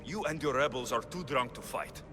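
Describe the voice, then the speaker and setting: An actor playing Alexios, a dry, steady mercenary in a game cutscene. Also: male voice, medium pitch, brisk, big-room sound, over music, natural voice. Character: Alexios, male Spartan-born mercenary, dry wit. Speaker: game protagonist. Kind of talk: video game cutscene acting.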